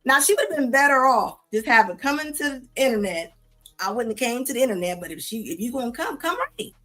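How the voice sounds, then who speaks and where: Sassy, scolding woman with a high voice. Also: expressive, fairly quick, sharp tone, soft background music. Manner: sassy, scolding, repeats herself, casual slang. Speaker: host reacting to clips. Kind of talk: live commentary on videos.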